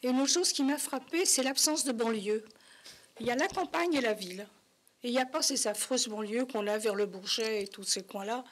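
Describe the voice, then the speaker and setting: A woman's voice: a little high, fairly quick. An older woman, a talk show guest, recalling her experiences conversationally in plain, short phrases. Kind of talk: conversational personal recollection.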